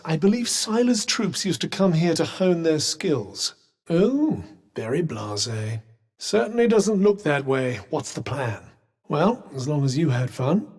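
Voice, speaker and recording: A sardonic male companion from a video game, dry and teasing, with a mock-polite edge. His voice sits high for a man and swings widely in pitch. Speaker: voice actor. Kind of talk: video game character voice lines.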